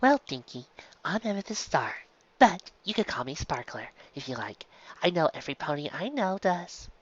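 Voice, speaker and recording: A narrator voicing a kindly, apologetic female unicorn shopkeeper with a gentle, halting manner in a story. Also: mid-range voice, very animated, breathy edge, highs cut off. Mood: gentle, friendly.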